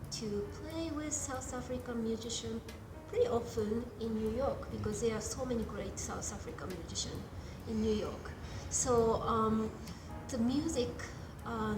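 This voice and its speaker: Woman, Japanese accent, hesitant, filler words, roomy echo, music playing behind. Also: on the high side. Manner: hesitant, many ums and uhs. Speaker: guest jazz pianist. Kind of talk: casual interview. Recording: echoey room with music behind.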